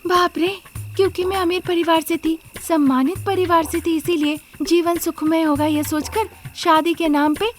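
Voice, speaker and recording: A woman plays a greedy young daughter-in-law in a cartoon moral tale. Her voice is very high and animated as she schemes and justifies herself. Music plays clearly behind her. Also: moderate pace. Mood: smug scheming.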